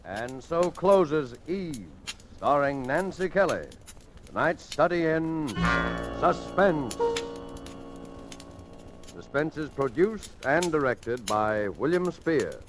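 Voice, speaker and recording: A man announcing a 1940s radio show in a slow, dramatic, formal manner. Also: fairly high pitch, very animated. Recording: vintage radio recording, music behind.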